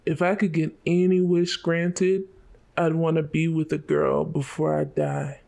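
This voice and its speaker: A man acts out a penniless teenage devil hunter from an animated motion comic. He speaks slowly in a fairly high, fry-laden voice. He sounds worn down and blunt, resigned to his lot, with music underneath. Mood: wistful, resigned. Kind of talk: fan motion comic voice acting.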